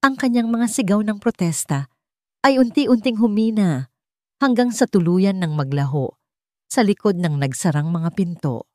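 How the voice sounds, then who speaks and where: A woman narrating a scripted drama story in a measured, dramatic storytelling manner. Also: medium pitch, expressive, warm tone, no echo, clean studio sound.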